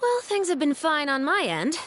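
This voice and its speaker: A woman playing a composed, dutiful female patrol captain in a video game, speaking in a measured, dryly wry way. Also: high-pitched, huge pitch swings, a bit bright, slight background noise, heavily acted. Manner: measured, dry, articulate.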